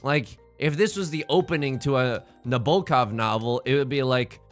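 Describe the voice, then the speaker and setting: A man's voice: medium pitch, normal speed, soft background music. A man from China hosting a let's play, commenting on his own game in a jokey, rambling way with playful internet slang. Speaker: gaming channel host.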